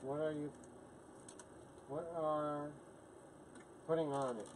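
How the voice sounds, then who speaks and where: A man's voice: fairly high pitch, steady tone, slow pace. An older man in his sixties acts as the helper on a live chat. He reads viewers' comments aloud and drops in short, plain remarks over some background noise. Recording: faint room noise.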